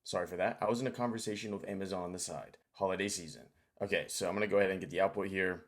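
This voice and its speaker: A man's voice: low voice, steady tone, racing. A man hosting a casual screen-share tutorial, informal and thinking aloud as he goes. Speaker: tutorial host.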